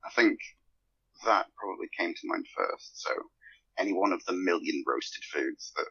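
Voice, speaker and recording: A man's voice: low-pitched, lively intonation, normal speed, creaky, sharp tone, slight background noise. A man talking casually and a bit hesitantly on a group podcast, with wry humour and muffled sound. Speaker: podcast guest, fan fiction author.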